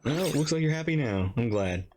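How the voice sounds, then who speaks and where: A woman's voice: a bass voice, expressive, moderate pace, darkish tone, slight background noise. A woman streamer giving chatty, playful, casual live reaction commentary while playing a game.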